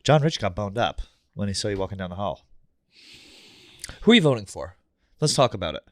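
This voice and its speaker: A man's voice: fairly low pitch, big pitch swings, a little fast, dry recording. A man co-hosting a podcast, chatting casually in a brash, teasing way and swearing freely. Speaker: podcast co-host. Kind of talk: casual chat.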